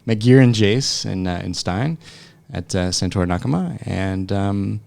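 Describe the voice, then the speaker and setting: Very deep, creaky man from France. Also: expressive, a little mellow. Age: late 30s. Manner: halting, with frequent hesitations and restarts. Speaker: interview guest, startup founder. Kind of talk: conversational interview answers. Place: podcast studio.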